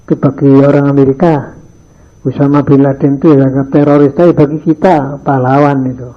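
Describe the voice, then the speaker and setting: A man's voice: medium pitch, little pitch movement, fairly quick. An older man teaching boarding school students in a morning religious lesson, slipping phrases into his talk and acting out little dialogues. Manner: mixes in Javanese phrases, acts out dialogues in his stories. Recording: dull lo-fi archive recording.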